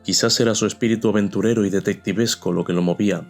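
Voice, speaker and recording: A man narrating a scripted story in a measured, reflective way. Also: fairly low pitch, fairly even intonation, vocal fry, faint music behind.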